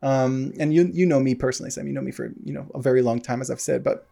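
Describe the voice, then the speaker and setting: A man's voice: medium pitch, steady tone, fast talker, gravelly, mellow. An American man co-hosting a casual podcast, rambling with lots of fillers and restarts. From United States. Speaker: podcast co-host.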